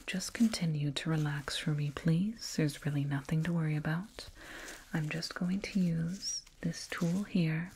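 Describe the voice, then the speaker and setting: Casting: woman as alien dentist for ASMR roleplay, low hushed whisper, unhurried, gently patronizing toward a little human patient. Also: slight background noise. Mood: reassuring, clinical. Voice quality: breathy whisper. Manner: slow, doting, clinical, gently patronizing. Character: condescending yet soothing alien dentist, fussing over a little human. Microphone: binaural mic, up close.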